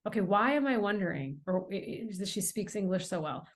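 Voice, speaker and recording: A woman's voice: medium pitch, brisk. Earnest American woman guest. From United States. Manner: earnest, emphatic, animated storytelling. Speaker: author and guest. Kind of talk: podcast interview.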